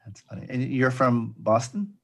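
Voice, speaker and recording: A man hosting an interview, introducing his guest and asking questions in a halting way, with many ums and restarts. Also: mid-range voice, animated. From Providence. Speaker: podcast host.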